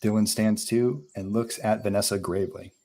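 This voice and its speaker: Low-voiced man narrating a script. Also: fairly even intonation, normal speed, warm tone. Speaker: narrator reading stage directions. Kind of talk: scripted narration at a live table read.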